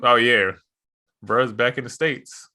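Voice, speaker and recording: A man whose voice is hoarse talks in an easygoing, slang-heavy way as a podcast co-host. His pitch sits in the middle range, and his delivery is relaxed and conversational. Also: slow pace. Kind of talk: casual chat.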